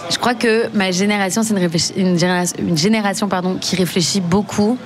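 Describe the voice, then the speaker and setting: A woman's voice: medium pitch, fairly even intonation, fast talker. A young woman from France in her twenties with a Parisian accent, a singer chatting spontaneously and hesitantly in an interview. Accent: Parisian French. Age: 20s. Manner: spontaneous, hesitant, many restarts and "euh". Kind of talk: casual interview chat. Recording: crowd noise behind.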